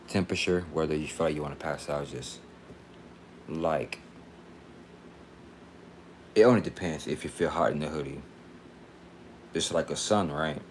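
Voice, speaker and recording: A man's voice: rumbling low, a little hiss or hum. A man in his late twenties from Texas, chatting casually on a livestream in a rambling, repetitive, slangy way. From Texas, United States.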